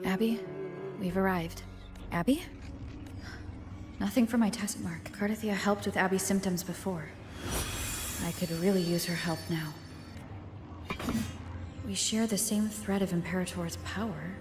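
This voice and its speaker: A young woman adventurer in a video game , played by a woman. She sounds composed and resolute, asking things earnestly in a medium-pitched voice. Music runs clearly underneath. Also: fairly even intonation, unhurried, pauses often, close to an everyday voice. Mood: concerned. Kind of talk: video game character acting.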